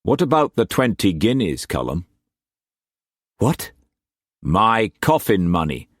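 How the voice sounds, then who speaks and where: A man voicing a shrewd, fatherly older Scottish trader who speaks in a measured, gruff way. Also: lowish voice, very animated, no echo, studio quality, heavily acted. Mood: stern. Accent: Scottish put-on.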